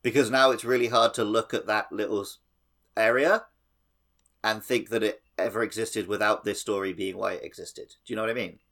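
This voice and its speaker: A man with a fairly low voice co-hosts a gaming podcast. His talk is casual and conversational. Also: fairly even intonation, a little fast, a bit bright. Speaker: podcast co-host. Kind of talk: casual chat about a video game.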